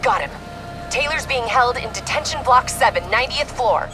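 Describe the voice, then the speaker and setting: An actress playing a focused, urgent military tech operative in a cinematic video game, reporting in clipped phrases. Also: female voice, fairly high pitch, animated, moderate pace, over music, close to an everyday voice.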